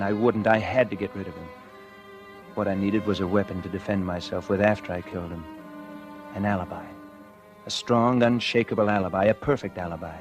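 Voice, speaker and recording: An actor playing a nervous, scheming murderer who narrates his crime in a deliberate, confessional way, in 1940s radio suspense style. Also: lowish voice, natural voice. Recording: vintage radio drama with music underneath.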